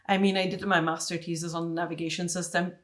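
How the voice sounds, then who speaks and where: Lively Italian woman telling stories. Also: lowish voice, fast. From Italy. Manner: animated storyteller, lots of fillers. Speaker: podcast co-host. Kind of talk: casual chat.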